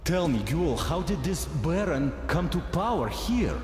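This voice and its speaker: Lively soldier, echoey, music behind. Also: male voice, fairly high pitch, measured pace. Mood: casual. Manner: conversational, wry, musing. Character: easygoing, pragmatic male soldier comrade. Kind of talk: video game voice acting. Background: game music.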